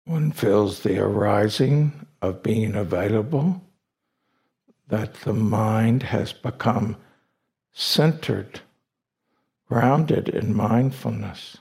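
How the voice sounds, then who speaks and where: Slow, very creaky male meditation teacher. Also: on the low side, a little mellow. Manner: deliberate, with long pauses between short phrases. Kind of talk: guided meditation instruction.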